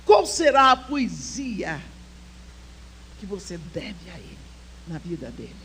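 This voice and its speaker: Older woman giving slow, heartfelt testimony. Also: on the low side, sing-song, slight background noise. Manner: heartfelt storytelling, swings between soft near-whispers and emphatic exhortation. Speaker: conference speaker. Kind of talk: personal testimony at a church women's conference.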